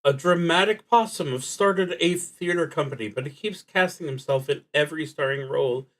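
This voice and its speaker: A man streaming a solo roleplaying game, thinking aloud and reading out cards, often halting and trailing off as he works things out. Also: on the high side, a bit bright, poor audio.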